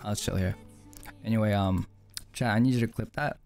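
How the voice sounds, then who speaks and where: A man's voice: on the low side, moderate pace, creaky, a little mellow, faint music behind. A man hosting a gaming livestream, joking and laughing with friends and his chat. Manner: playful, joking, laughs often. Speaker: streamer, host.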